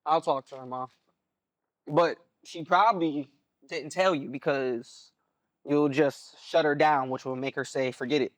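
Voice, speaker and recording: Guy playing a young college son in a play, kinda high voice, mild, trying to smooth things over, dry sound. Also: steady tone, measured pace, no echo, lightly acted. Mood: easygoing, placating. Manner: placating, earnest. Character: mild-mannered college athlete, dutiful son. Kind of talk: stage play dialogue.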